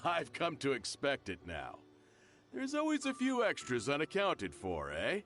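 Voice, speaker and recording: A man's voice: on the high side, huge pitch swings, a little slow, full character voice. A man playing a genial, self-deprecating middle-aged shopkeeper and father in a video game. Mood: jovial. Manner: friendly, familiar, insistent. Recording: music under the voice.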